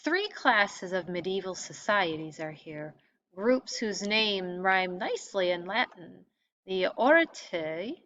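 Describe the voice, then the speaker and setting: A woman teacher reading a textbook lesson aloud in an expository way, pausing to stress key terms. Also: medium pitch, expressive, fairly bright tone. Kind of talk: read-aloud lesson narration. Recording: dull lo-fi audio with clear background noise.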